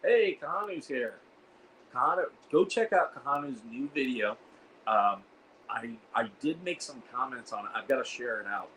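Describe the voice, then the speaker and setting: A hoarse man hosting a casual livestream, rambling with lots of fillers and reading out the chat. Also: mid-range voice, expressive, moderate pace, fairly bright tone, a little hiss or hum, rough recording. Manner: rambling, reads chat aloud, frequent fillers. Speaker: host of a veterans livestream. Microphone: iPad.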